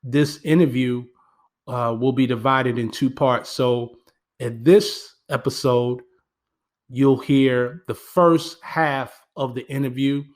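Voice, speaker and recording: A man introducing his community radio program. He speaks earnestly and steadily, dropping in the odd "um" as he goes. His voice sits at an ordinary middle pitch. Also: slow pace. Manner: steady, earnest, with frequent fillers. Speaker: radio show host.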